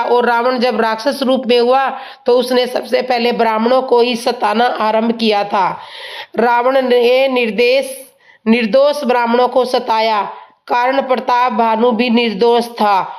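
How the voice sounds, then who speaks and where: A woman with a sore, hoarse throat telling a devotional story in a slow, humble way. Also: on the high side. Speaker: storyteller of religious tales.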